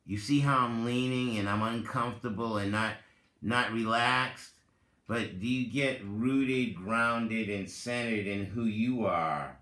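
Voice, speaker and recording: A man giving a dramatic reading with spoken commentary, deliberate and halting, in short phrases. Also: on the low side, bright, forceful tone. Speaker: reader, content creator. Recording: roomy, echoing voice recording.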